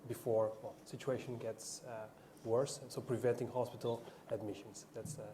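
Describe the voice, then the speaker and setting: A man introducing himself on a panel, speaking carefully and haltingly with pauses. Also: mid-range voice, steady tone, warm tone, a little hiss or hum. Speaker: panelist, biomedical engineer.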